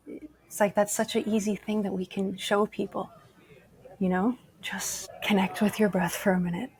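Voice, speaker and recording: Earnest woman with a flat, even voice. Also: medium pitch. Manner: earnest, explanatory, many fillers. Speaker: guest, yoga teacher and activist. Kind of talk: conversational interview. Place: live conference recording.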